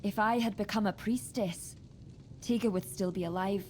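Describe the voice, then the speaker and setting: A woman voicing a grieving widow in a fantasy role-playing game, sharp-tongued yet sorrowful. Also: mid-range voice, moderate pace, slight background noise, close to an everyday voice, rough recording. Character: grieving, bitter widowed tribeswoman. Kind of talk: video game voice acting.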